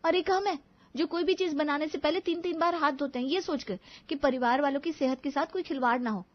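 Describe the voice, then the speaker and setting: Casting: woman playing young cartoon daughter-in-law, very high voice, exasperated, sarcastic, sharp-tongued; slightly muffled recording. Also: fairly quick, lightly acted. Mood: indignant. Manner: complaining, emphatic, sarcastic. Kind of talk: animated family story acting.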